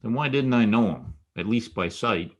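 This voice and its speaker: An actor playing a wisecracking, world-weary private detective in a noir radio drama, wry and sardonic. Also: male voice, on the low side, expressive, moderate pace. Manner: wry, sardonic, clipped tough talk. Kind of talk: old-time radio noir acting and first-person narration.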